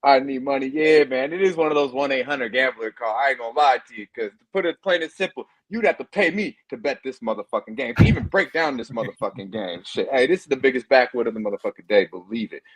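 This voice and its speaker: A man's voice: mid-range voice, quick pace, few pauses, dry recording, rough recording. A man on a sports betting panel chatting bluntly in casual, profane slang. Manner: blunt, swearing.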